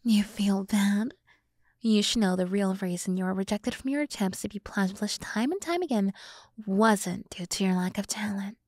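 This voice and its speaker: A woman voicing a seductive, scheming demon woman in an ASMR roleplay, often dropping to a breathy whisper as she slowly coaxes and condescends. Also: medium pitch, a little mellow, dry recording, studio quality. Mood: knowing, coaxing. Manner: slow, teasing, condescending toward humans. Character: seductive, scheming demon woman, coolly menacing yet coaxing. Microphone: close microphone, dry sound.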